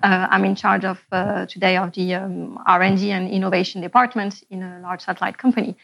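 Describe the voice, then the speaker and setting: A woman from Morocco speaking on a panel, measured and pausing with fillers. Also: medium pitch, level tone, vocal fry, a bit bright, low-quality recording. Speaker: panelist and engineer. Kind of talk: panel discussion.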